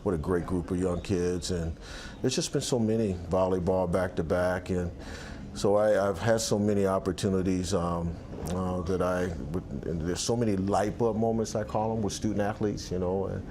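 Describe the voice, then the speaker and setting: A man's voice: sits low, fairly even intonation, moderate pace, a little mellow, slight background noise. A man in his sixties, an athletic director, talking reflectively in a sit-down interview on an outdoor balcony, with many restarts and fillers. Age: 60s.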